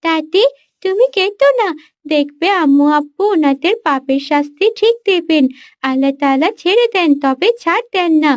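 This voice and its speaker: A woman's voice: very high-pitched, expressive, moderate pace, dry recording, clean studio sound, pitched above the performer's own voice. A woman voicing an earnest, caring young granddaughter in a children's cartoon. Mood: earnest, consoling.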